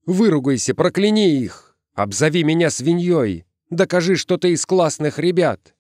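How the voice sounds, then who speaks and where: A man's voice: on the high side, very animated, normal speed, darkish tone, dry recording, clean studio sound, pitched above the performer's own voice. A man voicing a desperate outcast boy in a story, pleading urgently and crying out to belong.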